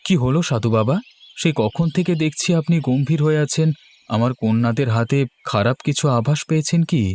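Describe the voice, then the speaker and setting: A man's voice: mid-range voice, a little fast, a little mellow, lightly acted. Man voicing an anxious, devout fairy-tale king. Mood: worried, pleading. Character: devout, anxious king and father of three princesses. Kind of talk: Bengali fairy-tale cartoon dubbing.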